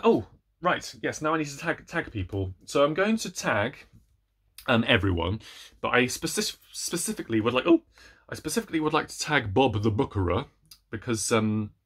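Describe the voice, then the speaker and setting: A man's voice: mid-range voice, a bit bright. A man in his thirties chatting casually about books to camera, hesitant and rambling, often breaking off mid-sentence. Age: 30s. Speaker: book reviewer vlogger. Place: small indoor room with bookshelves.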